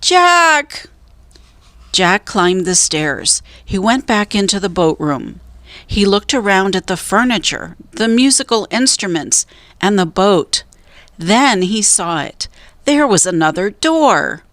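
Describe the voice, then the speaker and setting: A woman narrating a children's storybook as a reader, with deliberate, suspenseful storytelling. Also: medium pitch, expressive, fairly bright tone, no echo.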